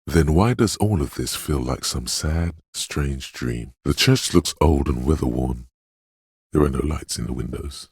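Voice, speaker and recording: A mysterious inner voice of intuition, extremely low, dry close sound, poetic, portentous, brooding video game narration. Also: male voice, unhurried, dry recording, full character voice. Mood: eerie, melancholy. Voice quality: deep, gravelly, husky. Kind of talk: scripted second-person video game narration.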